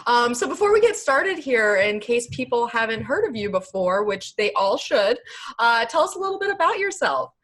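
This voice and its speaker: A woman's voice: a little high, very animated, normal speed, fairly bright tone. A woman hosting a podcast interview in a casual, chatty way, with effusive enthusiasm. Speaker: podcast host interviewing a guest.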